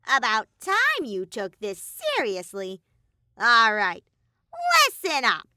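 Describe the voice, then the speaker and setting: Woman performing a robot bear villain, cartoonish, bossy, snappy, sharp bright tone, extremely animated pitch, audiobook character. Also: slow pace, no echo, heavily acted. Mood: smug, impatient.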